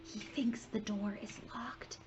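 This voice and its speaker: A woman's voice: fairly high pitch, lively intonation, normal speed, soft background music, voice pushed up. Woman plays a frightened schoolboy, whispery and urgent, lo-fi. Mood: hushed. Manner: hushed, urgent.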